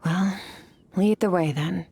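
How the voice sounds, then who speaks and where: A woman playing an aloof, stoic young swordswoman in an anime-style game, terse, cool and deadpan. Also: fairly low pitch, takes it slowly, a little hiss or hum. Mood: matter-of-fact.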